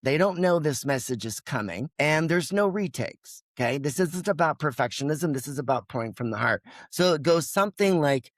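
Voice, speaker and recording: A man's voice: a little high, expressive, moderate pace, hardly any pauses, dry recording, studio quality. A man who is a podcast guest, speaking in an emphatic, passionate way and now and then acting out his own inner voice. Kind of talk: energetic interview talk.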